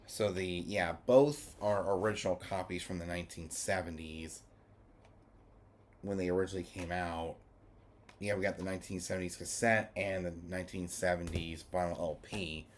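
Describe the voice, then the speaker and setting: A man giving a casual, rambling review, halting and restating his points as he goes. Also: low voice, fairly even intonation, a little hiss or hum. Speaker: vlogger reviewing music formats.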